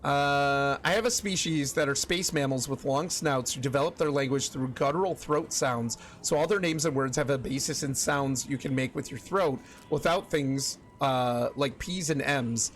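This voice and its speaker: A man's voice: medium pitch, normal speed, hardly any pauses, slight background noise. A young man streaming, chatting casually with his viewers and thinking aloud with lots of restarts and fillers.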